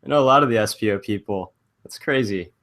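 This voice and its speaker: A man's voice: on the low side, fast, fairly bright tone. A man hosting a podcast, friendly and encouraging, laughing easily as he sets up the conversation. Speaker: podcast host. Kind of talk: casual interview chat.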